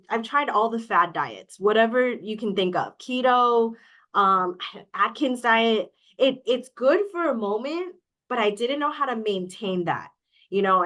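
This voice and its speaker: A woman's voice: medium pitch, fairly bright tone. A woman from Hawaii talking as a guest in a casual interview, speaking openly and candidly with many "um"s. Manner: open, candid, with many fillers. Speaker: guest, coaching client.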